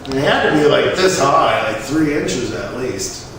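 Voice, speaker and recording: A man's voice: medium pitch, animated, measured pace, gravelly, a bit bright. A middle-aged American man casually telling an anecdote in salty, colloquial, mildly mocking language. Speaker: storyteller. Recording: echoey, noisy, distant microphone.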